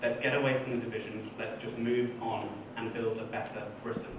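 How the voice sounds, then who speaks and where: A man speaking as a council candidate in a debate, calm and conciliatory. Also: lowish voice, fairly quick. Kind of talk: debate speech. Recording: echoey hall, far from mic, noise.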